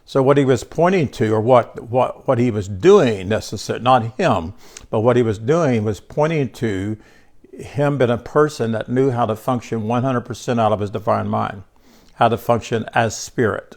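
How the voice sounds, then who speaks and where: A man's voice: mid-range voice, moderate pace, a little mellow. An older man teaching a small group about scripture in a loose, conversational way, congested, often restarting words and speaking to listeners by name. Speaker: bible teacher.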